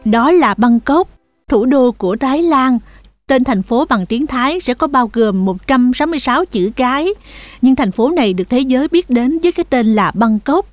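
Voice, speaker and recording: A woman voicing a caring, firm mother goat in a children's cartoon, explaining things in a measured manner. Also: high voice, animated, darkish tone, no echo, soft background music. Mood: calm, explaining. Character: calm, firm mother goat, caring teacher of her kids.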